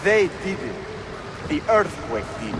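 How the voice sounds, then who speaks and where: A man's voice: fairly high pitch, very animated, measured pace, noisy background. An actor playing a bold, decisive male commander in a video game, with clipped, commanding delivery. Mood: intent, confident.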